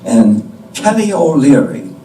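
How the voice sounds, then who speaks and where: An older man giving a deliberate scripted introduction to a play from a stage, with pauses. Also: mid-range voice, very animated, mellow. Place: indoor stage before an audience. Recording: distant mic in echoey room, background noise.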